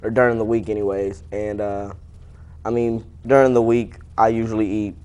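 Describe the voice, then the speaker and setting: A young man with a fairly low voice answers interview questions as an athlete. His delivery is informal and plain-spoken, in a casual conversational style. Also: flat delivery, normal speed, a little mellow, clear, resonant tone. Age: young adult. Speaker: wrestler being interviewed.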